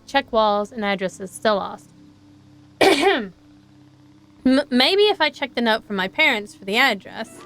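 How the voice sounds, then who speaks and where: A woman's voice: fairly high pitch, a bit bright, smooth. A woman streaming a visual novel, reading it aloud expressively and chatting along, with faint music behind. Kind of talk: live game commentary and dramatic read-aloud of a visual novel.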